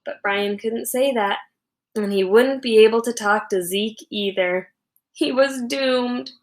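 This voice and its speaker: A woman reading a children's storybook aloud as a teacher would, with expressive narration. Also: on the high side, slow. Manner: animated, acts out characters' lines.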